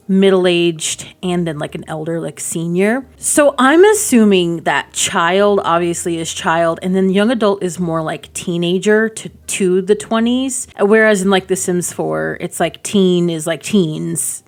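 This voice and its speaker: Female gaming creator, lowish voice, informal rambling commentary, conversational and unscripted. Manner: chatty, rambling, informal, frequent "like" asides. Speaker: gaming video creator and host. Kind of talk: casual gameplay commentary.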